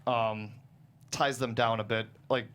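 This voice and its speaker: A man's voice: medium pitch, flat delivery, measured pace, fairly bright tone, clear, resonant tone. A man talking casually as a guest screenwriter about his own writing, in a hesitant, rambling way, often chuckling mid-sentence.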